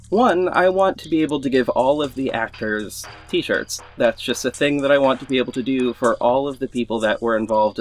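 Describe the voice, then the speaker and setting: Male podcast host, medium pitch, informal and enthusiastic, unscripted and a bit halting, music bed behind. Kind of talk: casual unscripted update to listeners. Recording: music under the voice.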